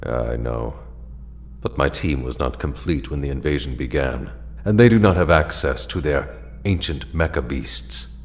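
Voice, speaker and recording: Man voicing very deep solemn alien mentor. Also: unhurried. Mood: grave regret. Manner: grave, formal.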